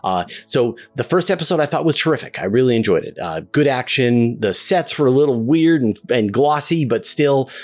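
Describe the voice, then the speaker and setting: A man's voice: mid-range voice, expressive, darkish tone. A man in his fifties hosting a casual solo Q&A, talking in a chatty, reflective way with frequent fillers. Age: 50s. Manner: reads a long list of names quickly. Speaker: host.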